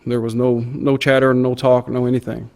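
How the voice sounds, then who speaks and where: A man's voice: medium pitch, little pitch movement, moderate pace, vocal fry, a little mellow. A middle-aged man tells a story from his working life as a pilot. He speaks informally and plainly, with vivid detail. He voices quoted exchanges as part of the account. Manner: plain-spoken, vivid, acts out quoted radio calls. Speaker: airline pilot giving a recollection. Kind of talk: informal spoken recollection of an event.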